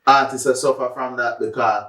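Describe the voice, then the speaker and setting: A man's voice: mid-range voice, fairly even intonation, a little fast, bright, forceful tone. A man with a Jamaican accent co-hosting a casual discussion, often posing questions and chipping in. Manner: questioning, interjecting. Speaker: podcast host. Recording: echoey room, distant from mic.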